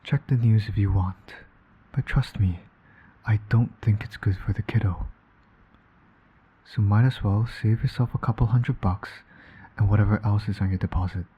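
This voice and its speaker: A low, husky male voice for a roleplay audio drama , a man acting it out. He is a smug villain who flirts and needles with dry sarcasm, yet shows a fatherly soft side. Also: normal speed, long pauses, muffled recording. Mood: smug, teasing. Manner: smug, teasing, sardonic. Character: teasing, flirtatious male supervillain, doting secret father.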